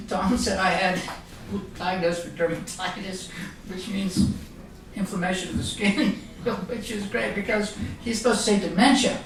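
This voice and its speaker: A man's voice: high voice, a bit bright. A middle-aged man from Ireland giving a casual, joking talk to a live group, rambling with frequent restarts. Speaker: guest speaker. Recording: roomy meeting room, distant mic, noisy.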